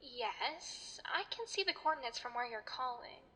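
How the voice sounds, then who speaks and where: A woman voicing a devout, fretful sister in an animated series, breathless, apologetic and reverent. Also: high voice, moderate pace, long reverb tail, exaggerated voice. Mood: grateful. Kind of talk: animated web series voice acting.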